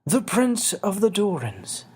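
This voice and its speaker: A man voicing a tiny old winged fairy man for a fantasy storybook, solemn and gentle, with a slow, grave delivery. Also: high voice, huge pitch swings, slightly rough, pitched above the performer's own voice, heavily acted. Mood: sorrowful.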